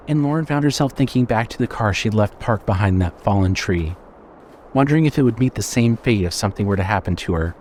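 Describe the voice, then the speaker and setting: Narrator, male: fairly low register, scripted horror storytelling, light background ambience under the voice. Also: a little mellow, slight background noise.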